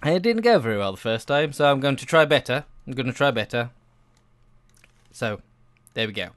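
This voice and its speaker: A man's voice: medium pitch, lively intonation, normal speed, a bit bright, no room sound, clean studio sound. A man chatting casually over gameplay in a hesitant, self-deprecating, rambling way, like a video creator thinking aloud.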